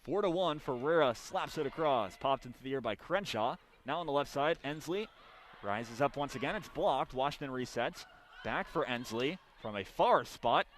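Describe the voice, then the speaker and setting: A man's voice: medium pitch, lively intonation, moderate pace, a bit bright. A young man doing live radio play-by-play of volleyball, with punchy, clipped calls that swell on big plays. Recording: background noise of a sports arena. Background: arena ambience.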